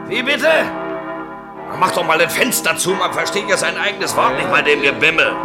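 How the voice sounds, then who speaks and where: Casting: a man to play an irritable, distracted type in a German radio drama, high voice raised over pealing bells. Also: lively intonation, normal speed, over loud music, lightly acted. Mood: irritated, distracted. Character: brusque man giving directions over clanging bells. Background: loud church bells.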